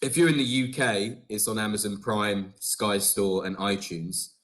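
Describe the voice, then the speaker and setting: A man, a comedian and filmmaker guest, telling his story in a halting way full of ums. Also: fairly low pitch, fairly even intonation, fairly bright tone. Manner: hesitant, many ums and uhs. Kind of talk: casual interview chat.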